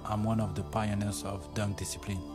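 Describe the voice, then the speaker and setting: A man's voice: on the low side, level tone, normal speed. A man in his forties with a non-native English accent, a coach giving scripted documentary narration. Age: 40s. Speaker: dunk training camp coach. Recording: music behind the voice. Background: music.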